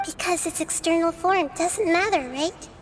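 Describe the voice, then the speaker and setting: A woman voicing a gentle, curious young girl deity who speaks softly and inquisitively. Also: extremely high pitch, moderate pace. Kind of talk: video game cutscene dialogue. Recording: game audio with music behind.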